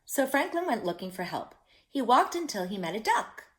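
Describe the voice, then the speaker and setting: A woman's voice: fairly high pitch, very animated, moderate pace. A woman storyteller reading a picture book aloud expressively, with simple asides addressed to young children.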